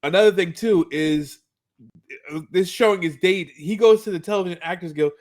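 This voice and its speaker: A man with a high voice co-hosts a fan rewatch podcast. He talks casually through scenes and adds his commentary, often doubling up on words as he looks for the next phrase. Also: brisk, darkish tone. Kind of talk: casual chat, recapping and commenting on a cartoon.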